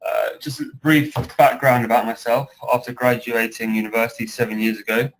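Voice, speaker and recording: A British man of around thirty giving a measured webinar presentation as a guest speaker. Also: mid-range voice, steady tone, slight background noise, rough recording. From United Kingdom. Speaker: guest speaker, project director.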